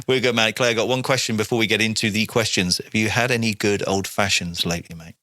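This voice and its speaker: Bright-voiced podcast host, jokey and casual. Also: male voice, fairly low pitch, brisk, no echo. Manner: jokey, laughing, informal, says mate.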